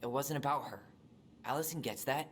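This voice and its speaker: Man playing a young adult guy, mid-pitched, blunt, sardonic, resentful, video game acting, long reverb. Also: brisk, fairly bright tone, slight background noise, natural voice. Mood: bitter, angry. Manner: terse, sardonic, casual.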